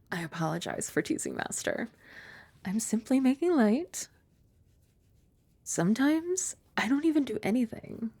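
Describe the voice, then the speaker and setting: A woman's voice: a little high, big pitch swings, a little slow, pauses often, slightly rough, no room sound, full character voice. A woman playing a composed, teasing young maid. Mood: calm. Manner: formal, polite speech with gentle teasing; soft-spoken, dropping to whispers at times. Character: composed, dryly teasing young female maid and childhood friend. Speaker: ASMR roleplay performer. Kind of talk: ASMR roleplay.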